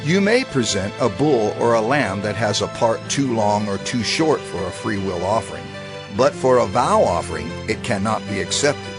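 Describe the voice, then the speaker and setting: An actor playing a solemn, instructive reciter of divine law in a formal, measured dramatized scripture reading. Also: male voice, lowish voice, lively intonation. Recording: music under the voice. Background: music.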